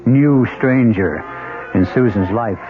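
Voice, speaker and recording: A man hosting a radio mystery drama, narrating in an ominous, deliberate, suspenseful storytelling style with a gravelly voice. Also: medium pitch. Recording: tinny old radio, music behind.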